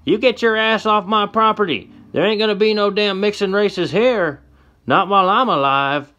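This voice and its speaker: A man voicing a hostile old frontiersman with a gun, yelling in a shrill folksy drawl. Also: lively intonation, normal speed, highs cut off. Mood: furious. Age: older.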